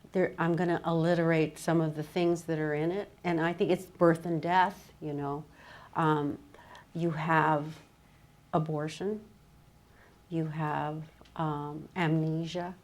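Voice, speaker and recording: A woman moderating a literary discussion as a fellow writer, musing in a halting, conversational way with frequent asides. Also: low voice, level tone.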